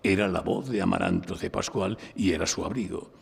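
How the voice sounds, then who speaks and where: A man from Spain playing a shrewd, composed defense lawyer who narrates his own case deliberately in a live audio drama. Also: low voice, huge pitch swings, vocal fry, breathy edge, full character voice. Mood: measured narration. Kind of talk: courtroom audio drama with hardboiled first-person narration. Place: recorded live before an audience in a library. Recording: live stage recording in reverberant hall.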